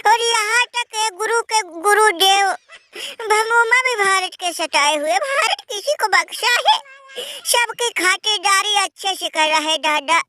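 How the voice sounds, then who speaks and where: A man voicing a sobbing, beaten cricketer in a comic cartoon, with a squeaky, sped-up voice, wailing melodramatically. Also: very animated, a little slow, pushed and bright, dry recording, exaggerated voice. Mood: consoling. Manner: melodramatic wailing, crying while talking.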